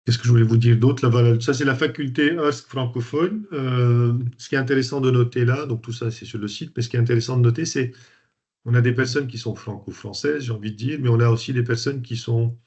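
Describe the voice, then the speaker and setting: A man's voice: medium pitch, brisk, a little mellow. A man from Lebanon hosting a coaching webinar, talking informally with frequent fillers and restarts. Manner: hesitant, many fillers and restarts. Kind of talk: informal presentation to an online group. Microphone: video call. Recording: dull lo-fi video call audio.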